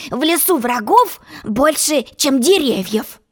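A woman's voice: high voice, expressive, takes it slowly, voice pushed up. A woman voicing a blustering, scatterbrained king in a storybook, with pompous exclamations. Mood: indifferent, musing.

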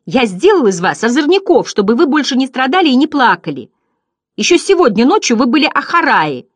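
A woman voicing an indignant, self-important boy in a storybook, protesting loudly and emphatically. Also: high voice, big pitch swings, slow, husky, no room sound, voice pushed up, full character voice. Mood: angry. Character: indignant, exasperated boy, self-important benefactor of unruly creatures. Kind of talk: storybook character voice.

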